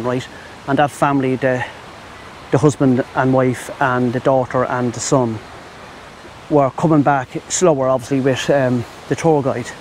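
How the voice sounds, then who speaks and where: A man's voice: mid-range voice, a little mellow, poor audio. Irish man, casual rambling vlog talk, outdoors, faint background noise, lavalier mic. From Ireland. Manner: rambling, chatty, addresses viewers as "my friends". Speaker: travel vlogger. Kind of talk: casual travel vlog to camera. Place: outdoors in Thai countryside.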